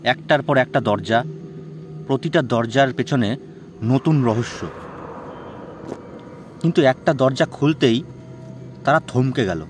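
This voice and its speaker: A man's voice: medium pitch, slow, long pauses, slight background noise. A man telling a horror story as a dramatic, scripted narration. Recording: music bed behind the voice.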